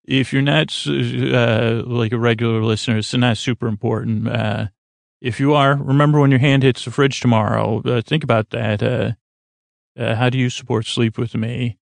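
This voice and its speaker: Guy with a gravelly creaky voice doing a meandering sleep podcast, soothing, keeps losing his thread, super dry close sound. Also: medium pitch, fairly even intonation, moderate pace, dry recording. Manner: meandering, stumbling, self-deprecating, often trailing off mid-thought; lulling, soothing delivery. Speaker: podcast host. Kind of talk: rambling, unscripted sleep podcast intro.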